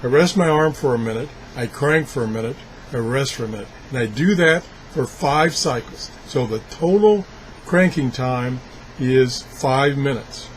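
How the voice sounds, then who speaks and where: Male hobbyist video reviewer, medium pitch, unscripted and halting, talking through what his hands are doing, slightly noisy recording. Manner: halting, thinking aloud while handling things. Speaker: video blogger reviewing gadgets. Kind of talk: casual unscripted product demonstration.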